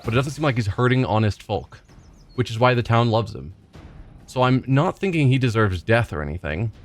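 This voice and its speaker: A man's voice: medium pitch, lively intonation, fairly quick, soft background music. A man hosting a let's play, thinking aloud hesitantly in casual commentary as he plays.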